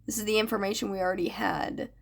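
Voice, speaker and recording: A woman voicing an imposing, menacing male crime boss in a flat, cutting, controlled manner for a story. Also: mid-range voice, fast, vocal fry. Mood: flat anger.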